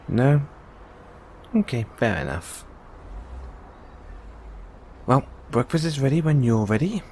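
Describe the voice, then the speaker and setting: A man playing a gentle, caring android owner in an intimate one-sided audio roleplay, coaxing and inquisitive, with long pauses. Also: mid-range voice, a little hiss or hum. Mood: tender, cheerful.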